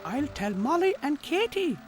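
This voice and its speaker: A squeaky, eager little farm machine. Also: male voice, big pitch swings, unhurried, loud music behind the voice, full character voice. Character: small eager helpful male farm machine.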